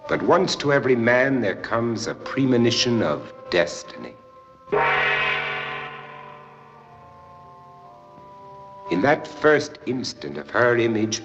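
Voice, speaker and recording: An actor playing a wry, world-weary adventurer for hire who drinks hard, speaking in a theatrical, sardonic and lyrical way in an old film. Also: male voice, mid-range voice, a bit bright. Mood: brooding, feverish. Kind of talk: old film acting with poetic first-person narration. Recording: muffled old film soundtrack, faint music.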